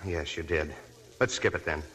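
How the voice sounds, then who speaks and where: A young army officer in 1940s radio drama. His voice is low and bright, his manner kind, polite and a little hesitant. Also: moderate pace. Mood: awkward, kind.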